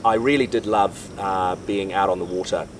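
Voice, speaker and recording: A man, telling his sailing background in a relaxed, rambling way. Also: deep voice, normal speed, far from the mic, slight background noise. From New Zealand. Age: 30s. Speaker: sailing vlogger. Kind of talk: casual chat. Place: outdoors on a boat in Panama.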